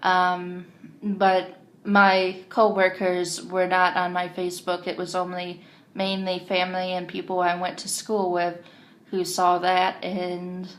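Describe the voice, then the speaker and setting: A woman with a deep voice vlogging to camera for the first time, hesitant and full of ums, drifting onto tangents. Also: completely flat delivery, slow pace, a bit bright. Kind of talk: casual unscripted monologue to camera. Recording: faint background noise.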